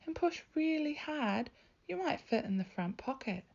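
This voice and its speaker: High-pitched little boy, played by a woman, earnest, pleading, worried yet hopeful, storybook character, slightly dull recording. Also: very animated, a little slow, a little mellow, heavily acted. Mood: hopeful, coaxing.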